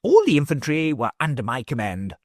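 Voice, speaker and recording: A man's voice: mid-range voice, huge pitch swings, brisk, dry recording, clean studio sound, pitched above the performer's own voice. Male reader as a pompous, greedy little nobleman who simpers and boasts. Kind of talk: audiobook character reading.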